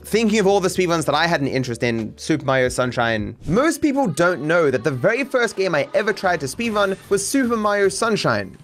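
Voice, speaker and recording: A man in his thirties with a slightly high voice, reacting to viewer comments from his home streaming setup. He rambles and swears freely, and soft music plays under him. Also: very animated, a little fast, few pauses. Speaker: speedrunning streamer. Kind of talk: casual commentary reading viewer takes. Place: home streaming room, indoors.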